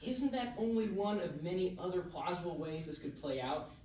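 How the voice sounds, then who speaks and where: A man's voice: high voice, expressive, moderate pace, darkish tone. A man at a screenplay table read playing a questioning, skeptical friend. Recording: very echoey, distant mic, faint noise.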